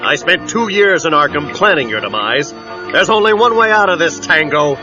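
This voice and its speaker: An actor playing a manic, sneering gangster supervillain in an audio drama, taunting theatrically with mock politeness. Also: male voice, a little high, animated, moderate pace, close to an everyday voice. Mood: sneering spite. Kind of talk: radio-drama villain acting. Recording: dull cassette audio with dramatic music.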